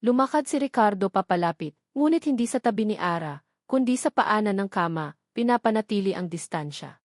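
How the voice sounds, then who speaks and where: A woman's voice: mid-range voice, lively intonation, brisk, dry recording, studio quality. A woman reads a scripted romantic story as a dramatic narrator.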